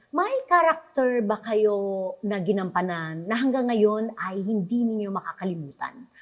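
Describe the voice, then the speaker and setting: A woman's voice: medium pitch, fairly bright tone. A woman hosting a radio show, interviewing in a conversational way.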